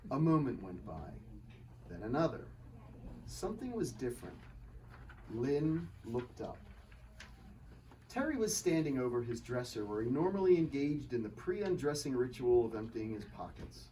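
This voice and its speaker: A man's voice: mid-range voice, big pitch swings, moderate pace, long pauses, mellow. A man narrating a scripted story as its author in a dramatic live reading. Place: live reading at a bookshop event. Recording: distant mic, faint room noise.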